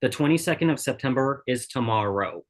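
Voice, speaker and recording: A woman's voice: very deep voice, animated, brisk. An actress plays a driven female dancer with a short fuse. She is curt and scornful, with a sardonic edge, in a screenplay read-through. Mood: cool, dismissive.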